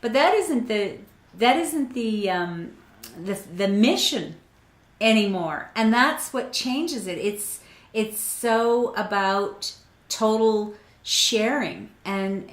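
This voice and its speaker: Woman host, casual chat, affirming, restarts often, mid pitch, roomy echo. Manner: warm affirming, many restarts and fillers.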